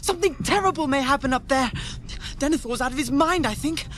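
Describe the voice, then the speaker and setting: Small frightened youth, played by a man: British, very high, earnest and breathlessly pleading, radio fantasy. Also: fairly quick, fairly bright tone. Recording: radio drama, faint background noise.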